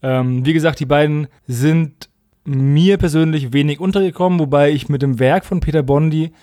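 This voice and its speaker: A man's voice: on the high side, normal speed, dark tone, no room sound, clean studio sound. A man co-hosting a podcast in casual, colloquial talk, giving frank opinions. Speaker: podcast co-host.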